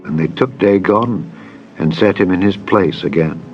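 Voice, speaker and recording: Scripture narrator: man with a lowish voice, grave measured delivery, music bed underneath. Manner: solemn, measured, deliberate phrasing. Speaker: Bible narrator. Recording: dull lo-fi audio with music.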